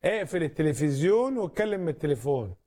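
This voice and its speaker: Quick-talking Egyptian man, mellow voice. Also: a little high, sing-song. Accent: Egyptian Arabic. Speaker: doctor answering callers on a TV phone-in show. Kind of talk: live medical call-in advice.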